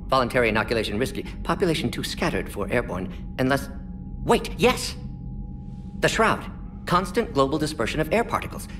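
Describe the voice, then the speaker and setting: A fast, clipped alien scientist, voiced by a man, whose pitch jumps around as he thinks out loud. He drops words and rattles through his reasoning. Game music plays under him. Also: close to an everyday voice. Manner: clipped, rapid, telegraphic phrasing. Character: brisk male alien scientist, clipped speech. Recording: game audio with music bed.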